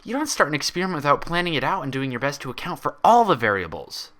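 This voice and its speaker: A man's voice: on the high side, sing-song, fast talker, sharp tone, pitched above the performer's own voice. A man voicing an anguished young female pony in a fan story, scolding in an accusatory, emotional, emphatic way. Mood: furious.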